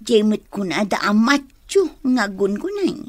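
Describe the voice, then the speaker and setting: A woman's voice: medium pitch, big pitch swings, measured pace, dry recording. A woman with an Ilocano accent playing a coy, dutiful young office secretary in a radio drama. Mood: coy, excited.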